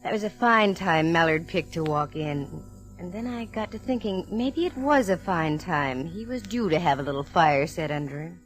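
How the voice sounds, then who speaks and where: A woman's voice: fairly low pitch, expressive, over music, close to an everyday voice. An actress playing a self-assured, wry, flirtatious female private detective in a 1940s radio drama. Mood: confiding narration. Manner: wry, flirtatious, quick with quips. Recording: noisy old radio recording.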